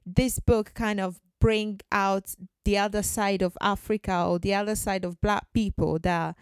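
Smooth-voiced woman, earnest and chatty. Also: mid-range voice, steady tone, unhurried, no room sound, clean studio sound. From Ghana. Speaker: podcast guest. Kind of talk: podcast conversation.

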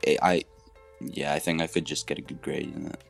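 A teenage boy with a very low, gravelly voice rambles through his answers and slips into sarcastic mimicry. Faint music sits behind him. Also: fairly even intonation, fairly quick. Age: teens. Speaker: student guest.

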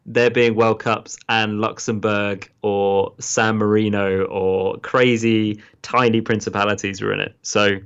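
Casting: English male podcast co-host, lower pitch, chatty and upbeat, muffled recording. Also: flat delivery, measured pace, hardly any pauses, smooth. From England. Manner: chatty, laughs easily, hedging fillers. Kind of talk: casual chat.

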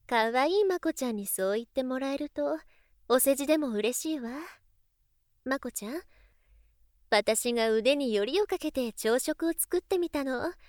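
An actress playing a refined, gentle young lady of wealth in an audio drama, speaking softly and politely with a coaxing, slyly doting big-sister manner. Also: high voice, expressive, measured pace, pauses often, no room sound, clean studio sound. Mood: doting, teasing. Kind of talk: Japanese voice drama acting.

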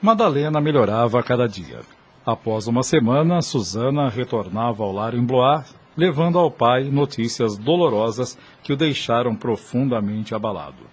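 Husky male radio drama narrator. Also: mid-range voice, animated, normal speed. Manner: solemn, literary.

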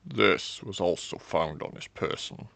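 Royal guard, voiced by a male narrator, deep, grave, formal and deferential, fantasy audiobook. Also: a little slow, vocal fry, a bit bright, no room sound, heavily acted.

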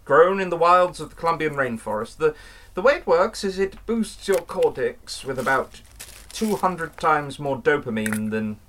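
A man playing an earnest, cryptic occult investigator and mentor in an audio drama, explaining things with slightly awkward, halting restarts. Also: fairly high pitch, expressive, a bit bright, slight background noise.

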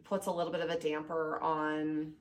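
A young adult woman co-hosting a casual podcast, chatty and animated with lots of fillers, sounding a little nasal and sniffly from a recent illness. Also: sits low, normal speed. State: slightly nasal and sniffly, getting over an illness. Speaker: podcast co-host. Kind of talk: casual chat.

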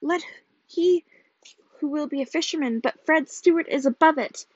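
A woman's voice: high voice, animated, normal speed, dry recording, highs cut off. A woman voicing a sulky, boastful, bad-tempered young man in a storybook, with a snarling, mocking manner. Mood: triumphant, boastful.

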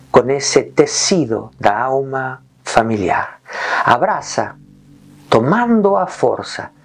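A man leading a guided meditation in calm, gentle short phrases with long pauses. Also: mid-range voice.

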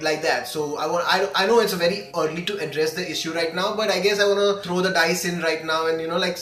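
A man's voice: fairly high pitch, fairly bright tone, a little hiss or hum. A man hosting a casual podcast chat, enthusiastic and rambling with many fillers. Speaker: podcast host. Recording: echoey room with music behind.

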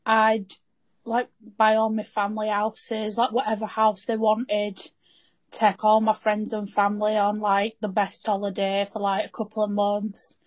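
A woman's voice: mid-range voice, completely flat delivery, a little slow. Chatty young woman, podcast co-host. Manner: chatty, earnest, northern English slang. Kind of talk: casual chat.

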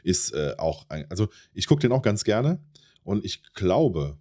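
A man's voice: deep voice, very animated, a little slow, mellow. A man co-hosting a podcast in casual chat, explaining at length with many hesitations and now and then repeating a word from a stutter. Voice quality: occasional repeated words from a stutter. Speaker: podcast co-host.

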